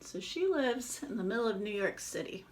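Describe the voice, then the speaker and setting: A woman reading a picture book aloud to children, expressive, with casual chatty asides to explain it. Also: mid-range voice, moderate pace, rough recording. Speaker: children's read-aloud presenter.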